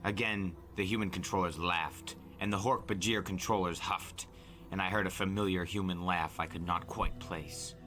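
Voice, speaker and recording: Deep, forceful male storyteller. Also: steady tone, normal speed, soft background music. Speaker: storyteller, podcast narrator. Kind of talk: dramatic audiobook narration.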